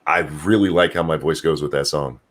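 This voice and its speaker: A man with a very deep voice, co-hosting a casual livestream chat, rambling and swearing freely while he hunts for names he has forgotten. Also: steady tone, fairly quick, a bit bright. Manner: profane, rambling, trails off searching for names. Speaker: podcast co-host.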